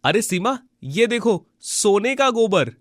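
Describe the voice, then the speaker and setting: A man voices a humble, good-hearted village husband from a cartoon folk tale. His voice sits a little high and sounds close and dry, and he speaks with earnest, pleading emphasis and simple devotion. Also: animated, moderate pace, fairly bright tone, no room sound. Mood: astonished. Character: kind, honest, devout poor villager husband, earnest and humble. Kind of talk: animated moral folk tale dialogue.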